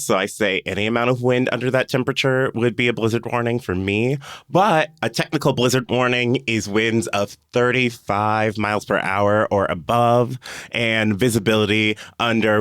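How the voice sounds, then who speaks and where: A man's voice: lowish voice, fairly bright tone, clean tone, no room sound, clean studio sound. A man chatting casually and explaining things as a podcast panelist.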